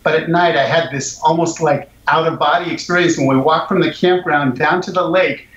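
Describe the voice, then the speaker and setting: A man's voice: fairly high pitch, fairly quick. A man guest, a jazz singer, chatting easily and telling stories about his songs, chuckling at himself now and then. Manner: relaxed, storytelling, chuckles at himself. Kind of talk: casual interview chat. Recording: echoey, muffled lo-fi line.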